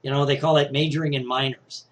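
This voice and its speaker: Man with a scratchy, throat-clearing voice. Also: medium pitch, little pitch movement, fast. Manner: conversational, frequent "right?" and "you know" tags. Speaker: psychologist teaching success skills. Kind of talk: casual motivational talk.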